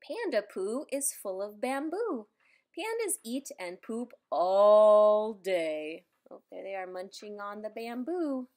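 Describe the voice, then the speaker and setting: A woman reading a children's picture book aloud, adding playful, expressive asides for young listeners. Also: medium pitch, slow pace. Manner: playful, expressive, simple child-directed delivery with exclamations. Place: indoors in a room at home.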